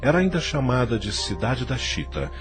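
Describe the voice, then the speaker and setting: A man's voice: medium pitch, sing-song, moderate pace, music in the background. A man with a Brazilian accent narrating a scripted audio novel.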